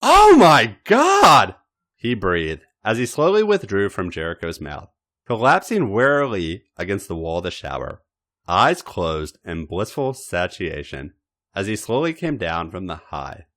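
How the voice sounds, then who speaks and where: Low-voiced man reading with wildly swinging pitch. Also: sing-song, a little slow, fairly bright tone. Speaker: podcast host and story reader. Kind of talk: dramatic scripted narration of erotic fiction.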